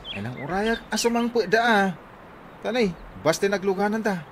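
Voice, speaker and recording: A man with an Ilocano accent playing a hurried, pushy tricycle driver in a radio comedy drama, chattering in quick clipped phrases. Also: sits high, animated, slight background noise. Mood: hurried, coaxing.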